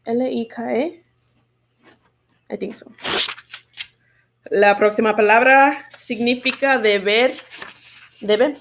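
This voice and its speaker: A woman teaching English sight words in Spanish, spelling each word slowly letter by letter. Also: on the high side, slight background noise. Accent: Spanish-speaking learner of English. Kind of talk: bilingual spelling lesson.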